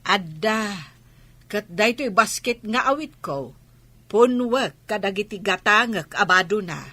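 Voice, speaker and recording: A woman's voice: medium pitch, animated, a little slow, no room sound. A woman playing a bossy, nagging village wife with an Ilocano Filipino accent in a comic radio drama. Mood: chatty. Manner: sharp, nagging.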